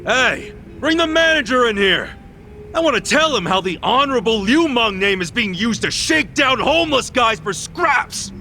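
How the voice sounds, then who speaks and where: A man's voice: very high voice, big pitch swings, normal speed, sharp tone, soft background music, full character voice. An actor playing a tough, streetwise but decent man in an English video game dub, talking in casual slang. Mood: loud threat. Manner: casual, slangy, plain-spoken.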